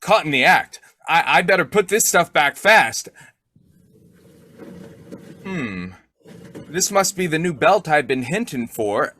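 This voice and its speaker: A man's voice: a little high, very animated, slow pace, long pauses, bright, forceful tone, a little hiss or hum, full character voice. A man playing a sly, childlike, blustering husband in old-time radio comedy style, muttering and stammering. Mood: flustered, scheming. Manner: muttering to himself, stammering, exclaiming.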